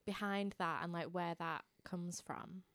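A woman with a fairly low voice co-hosting a podcast. She chats casually and puts questions to a guest. The sound is dry, with no room around it. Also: steady tone, measured pace, a bit bright, no echo. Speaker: podcast co-host. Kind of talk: casual chat.

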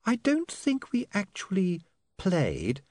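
An audiobook character played by a man: a prim young woman reporter with a high, very lively voice. She has a dreamy manner with a ferocious streak underneath. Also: slow, dry recording, exaggerated voice. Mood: wistful.

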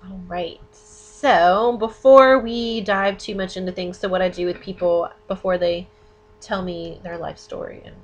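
A woman's voice: on the low side, steady tone, a little slow, muffled recording. A woman hosting a podcast in a casual, chatty interview style. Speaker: podcast host.

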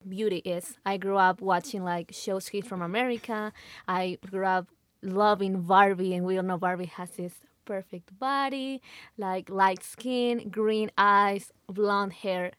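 A woman's voice: mid-range voice, fairly even intonation, unhurried, fairly bright tone, clean tone, dry recording. Peruvian woman guest, dry close sound. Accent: Peruvian Spanish. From Peru. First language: Spanish. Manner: searches for English words, switches into Spanish. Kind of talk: casual chat.

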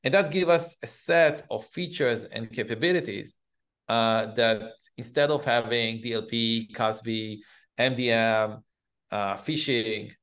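A man from Israel with an Israeli accent, explaining things as an interview guest in halting, hesitant phrases. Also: medium pitch, a bit bright. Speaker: guest, cybersecurity company CEO.

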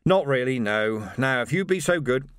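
Man playing an unflappable, deadpan police officer. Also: mid-range voice, normal speed, clean tone, dry recording, natural voice. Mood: patient firmness. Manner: dry, courteous, deadpan. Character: unflappable, wryly polite male police officer. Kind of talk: audiobook character voice.

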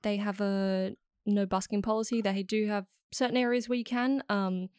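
A woman's voice: medium pitch, steady tone, normal speed, darkish tone, clean tone, no room sound, studio quality. A young woman in her early twenties, a musician guest in a casual interview, friendly and giggly with lots of fillers. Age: early 20s.

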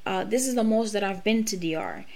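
Podcast host, woman, late 30s, frank and emphatic, swears freely, mid-pitched voice. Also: a little fast, vocal fry, warm tone. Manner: candid, profane, emphatic. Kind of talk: personal monologue.

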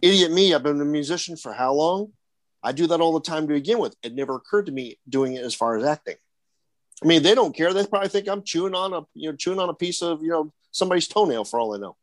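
Man, slightly high voice, rambling with lots of fillers, dry close sound. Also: animated, quick pace, no echo. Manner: rambling, many fillers and restarts. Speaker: haunted attraction actor. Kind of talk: casual panel chat.

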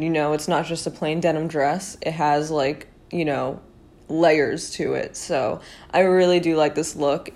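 Congested young woman, low voice. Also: a little mellow. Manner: chatty, lots of fillers, swears casually. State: congested, nasal. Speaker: podcast host. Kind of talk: casual fashion commentary.

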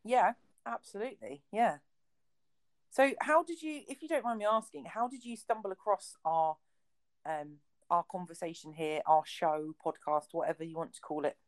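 A woman's voice: on the low side, moderate pace. A woman based in Kent, co-hosting a casual podcast chat, friendly and laughing often as she asks questions. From England (Kent). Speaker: podcast co-host.

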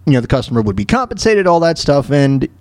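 A man hosting a talk show with casual off-the-cuff news commentary, halting and repeating himself in an exasperated way. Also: a little high, sing-song, a little mellow, dry recording, clean studio sound. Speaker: podcast host commenting on news clips.

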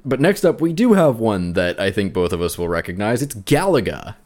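A man's voice: fairly low pitch, sing-song, a little fast, a little mellow, soft background music. A man co-hosting a podcast in casual banter about video games. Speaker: podcast co-host.

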